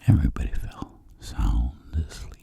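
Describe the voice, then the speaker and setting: A man voicing a boastful, roguish tingle-dealing world traveler in a whispered ASMR roleplay, telling rambling deadpan tales in a breathy, gravelly voice. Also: moderate pace, lightly acted. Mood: boastful, playful.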